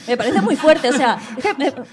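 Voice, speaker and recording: Young woman, comedic podcast host, dramatic mock outrage, higher voice, handheld mic. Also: animated, fast, a crowd in the background. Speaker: podcast co-host presenting a segment. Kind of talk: casual comedic chat. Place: small bar stage with live audience.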